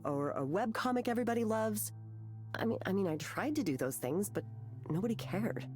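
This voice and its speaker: A nervous young American woman speaks in a fairly low voice over prominent music. She is a struggling artist in a visual novel, rambling and hesitant, always putting herself down. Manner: hesitant, rambling, self-mocking, anxious. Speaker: counseling client. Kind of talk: visual novel voice acting, conversational confession.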